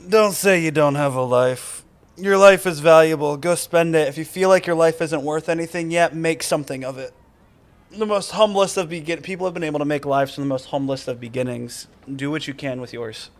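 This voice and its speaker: A man's voice: a little high, brisk. A man giving casual, theatrical live commentary over a video game, rambling and talking back to the characters.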